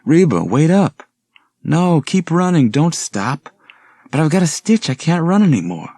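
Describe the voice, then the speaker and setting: An anxious college girl in an audiobook thriller, played by a gravelly man. The voice is pushed well up from the reader's own, urgent and breathless, in a dry recording. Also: a little high, sing-song, unhurried, mellow, dry recording, pitched above the performer's own voice, full character voice. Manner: urgent, exclaiming.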